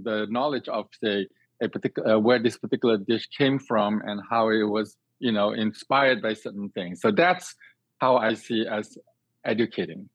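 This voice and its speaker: A man from Singapore with a fairly low voice talks as an interview guest in a dry, slightly dull recording. He explains at length and earnestly, circling back over words and rephrasing as he searches for the right one. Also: no room sound. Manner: hesitant, repeats and reworks phrases while thinking. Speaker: guest, cook and cooking teacher. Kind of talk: conversational interview answers. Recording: dry, slightly dull band-limited sound.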